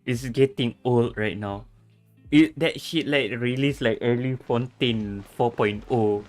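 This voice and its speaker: A man's voice: mid-range voice, unhurried, a little mellow. A man streaming a game, making loose, crude, joking asides. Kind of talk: live game commentary. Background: game music.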